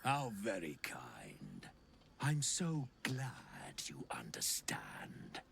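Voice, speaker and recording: A man's voice: rumbling low, wildly swooping pitch, extremely slow, gravelly, fairly bright tone, a little hiss or hum, full character voice. A man playing a sinister, secretive game character with theatrical, mock-polite manners who slips toward whispers. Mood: coy, mock-grateful. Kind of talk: video game character acting.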